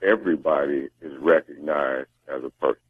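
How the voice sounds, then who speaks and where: A man's voice: very deep voice, moderate pace, breathy edge, no room sound. An American man speaking as an interviewed spokesman over a telephone line, answering in a careful, insistent way. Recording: muffled, band-limited phone line.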